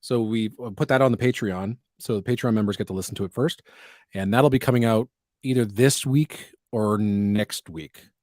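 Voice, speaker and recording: A man's voice: fairly low pitch, moderate pace, a little mellow, no echo. A man chats casually on a livestream, rambling from one aside to the next. His voice is a little scratchy from allergies. The sound is dry and close, with no room around it. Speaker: podcast host.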